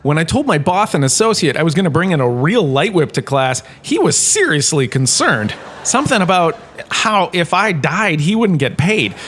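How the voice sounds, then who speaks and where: A man acts out a vain former holodrama star giving a comic audio-drama lecture. His voice sits on the high side and moves with lots of animation. He is chatty and self-satisfied, full of theatrical asides. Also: very animated, moderate pace, a bit bright. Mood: excitable, boastful.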